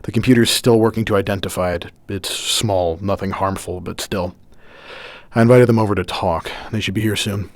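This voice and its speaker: A man's voice: lowish voice, fairly even intonation, normal speed, no room sound, natural voice. A man playing a grave, brooding vigilante hero in a radio drama, serious and restrained. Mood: calm, caring.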